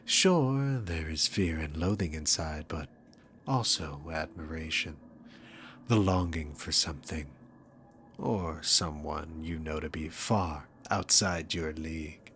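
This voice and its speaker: A man's voice: extremely low pitch, takes it slowly, a bit bright, a little hiss or hum, full character voice. A man voicing a dominant, sarcastic demon king in an ASMR roleplay, taunting the listener with theatrical, arrogant condescension. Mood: smug, probing.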